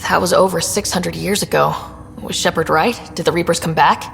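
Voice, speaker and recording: Concerned young explorer, lowish pitch, direct, long reverb, music behind. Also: female voice, steady tone, a little fast, gravelly, a bit bright. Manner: direct, questioning. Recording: long reverberant hall sound.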